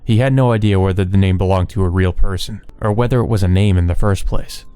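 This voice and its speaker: A man's voice: deep voice, fast talker, mellow, smooth. A man reading visual novel narration aloud as a let's play host.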